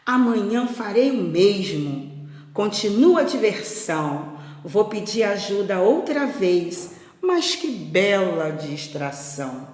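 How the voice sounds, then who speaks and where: A woman's voice: fairly low pitch, big pitch swings, a little mellow. Woman playing a mischievous shepherd boy, echoey. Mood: smug. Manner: theatrical, drawn-out cries and gloating. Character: mischievous, gleeful shepherd boy in a children's tale. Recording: echoey, reverberant room.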